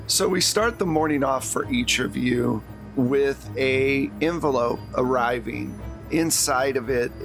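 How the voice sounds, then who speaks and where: A man's voice: medium pitch, fairly bright tone. A man hosting and narrating a tabletop role-playing game in an informal, conversational way as game master.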